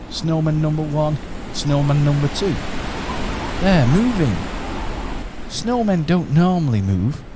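A calm, eccentric time-traveling alien, played by a man muses in an unhurried, whimsical way. His pitch rises and falls a lot. A clear hiss of noise sits under the radio play.

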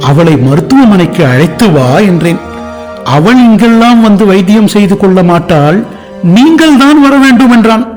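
Earnest young Italian, high-pitched, indignant, with quiet music under. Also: male voice, lively intonation, moderate pace. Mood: distressed. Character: eager young Italian man, earnest and outspoken. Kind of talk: radio drama acting.

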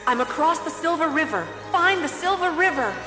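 High-voiced pleading cartoon princess. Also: female voice, expressive, brisk, echoey room, in a large hall, over music. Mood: pleading, urgent. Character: pleading young alien princess in distress. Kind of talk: children's cartoon dubbing.

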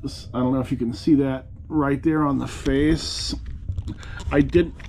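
A man in his forties chats to the camera from behind a desk, wry and rambling, with asides about what he has sold. His voice sits at an everyday middle pitch. A faint hum of room noise lies under the recording. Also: a little mellow. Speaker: eBay reseller vlogger. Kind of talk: casual talk to camera. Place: cluttered room indoors, seated at a desk. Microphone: camera in front of him at the desk.

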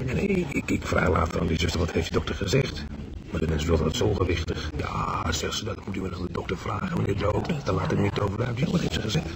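Radio play role: wry, resigned man in hospital, lowish creaky voice, clearly noisy background. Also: sing-song, fairly quick, exaggerated voice. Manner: colloquial, hesitant, wry. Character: resigned male hospital patient talking to visitor. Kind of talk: Dutch radio drama acting. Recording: noisy recording with background ambience.

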